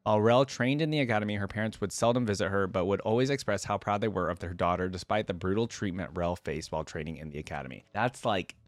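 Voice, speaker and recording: A man's voice: fairly low pitch, fast talker, few pauses, no echo. A man hosting a reaction video, reading lore aloud and adding informal, conversational commentary.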